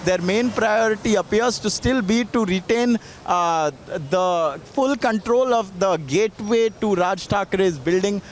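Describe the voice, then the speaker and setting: A man's voice: sits high, a little slow, few pauses, slight background noise. A man with an Indian accent giving a live on-location news report in a formal, wordy way with frequent hesitations. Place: outdoors on a city street.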